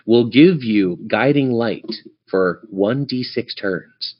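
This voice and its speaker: A man narrating a tabletop game as game master in a casual, improvised way. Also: medium pitch. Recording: dull lo-fi band-limited audio.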